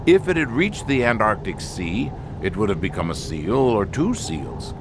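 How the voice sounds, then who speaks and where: Grave male scientist played by a man, audio drama. Lowish, very varied intonation, deliberate halting pauses, clear background noise. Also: big pitch swings. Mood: grim, foreboding. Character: grave male expedition scientist explaining an alien menace.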